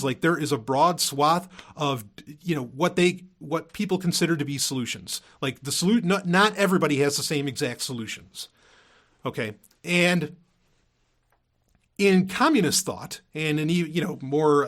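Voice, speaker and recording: Rambling, higher-voiced man podcasting. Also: fairly bright tone. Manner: rambling, emphatic, repeating himself, casually profane. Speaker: podcast host. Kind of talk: casual unscripted monologue.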